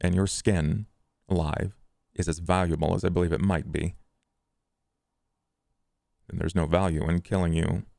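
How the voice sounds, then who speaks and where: A menacing vampire, played by a man in a tabletop game, speaks in a very deep, creaky growl. He is formal and deliberate, calculating yet grimly protective, recorded dry and close. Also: steady tone, a little mellow, no room sound. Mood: grim, assured. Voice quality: gravelly.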